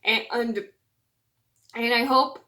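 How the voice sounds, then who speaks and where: A woman's voice: on the high side, fairly even intonation, slow pace, bright, forceful tone. A woman with a scratchy voice hosting her channel and reading a fairytale aloud, with chatty, apologetic asides. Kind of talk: storybook read-aloud.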